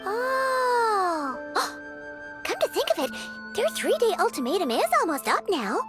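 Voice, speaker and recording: A woman voicing a small, chirpy, excitable female fairy companion in a video game, with a squeaky childlike voice and exclamatory manner. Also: slow pace, loud music behind the voice, full character voice. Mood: startled, curious. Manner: refers to self in third person, exclamatory. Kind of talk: video game cutscene voice acting.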